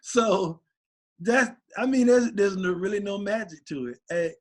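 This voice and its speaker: A man, a rapper guest, talking casually and excitedly in an interview, rambling with many restarts. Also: sits high, unhurried. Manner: animated, rambling, voice gets raspy when excited.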